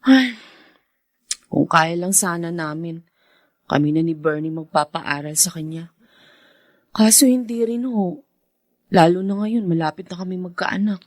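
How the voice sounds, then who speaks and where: A woman's voice: on the low side, measured pace, long pauses, no echo, clean studio sound. A woman playing an earnest, devoted young married daughter in a Filipino radio drama. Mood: concerned.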